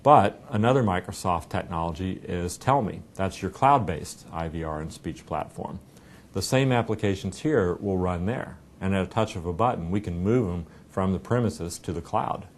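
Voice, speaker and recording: A middle-aged American man giving a conversational, explanatory software product demonstration in an informal way. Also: sits low, animated, normal speed, slight background noise. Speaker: software company representative giving a product demo. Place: indoor meeting room. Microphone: lapel mic.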